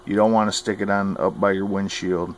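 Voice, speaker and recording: A man's voice: sits low, flat delivery, fairly quick. A man giving informal how-to advice as a radio hobbyist, folksy, plain-spoken and opinionated. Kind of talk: informal instructional talk.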